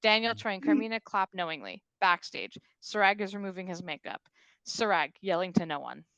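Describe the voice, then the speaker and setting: Woman reading out script directions, mid pitch, close dry sound. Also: expressive, brisk, fairly bright tone, clean tone, no echo, poor audio. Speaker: narrator reading stage directions. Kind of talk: scripted narration at a comedy table read.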